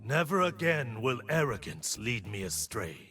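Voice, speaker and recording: A man playing a disciplined, resolute blind warrior monk for a video game, speaking in solemn, measured aphorisms. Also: mid-range voice, big pitch swings, bright, forceful tone, soft background music. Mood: disciplined, determined.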